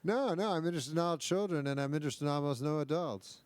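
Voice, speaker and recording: Higher-voiced man in insistent comedic banter. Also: fast, darkish tone, dry recording. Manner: argumentative, insistent, profane.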